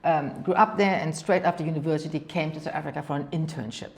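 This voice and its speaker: A middle-aged woman from Germany speaks English with a German accent, her voice sitting a little low. She tells long, rambling anecdotes and keeps using the filler "kind of go and," doubling back on her words. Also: quick pace. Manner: talks in long anecdotes, often says "kind of go and", repeats words. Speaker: venture capital partner, guest. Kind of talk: interview storytelling.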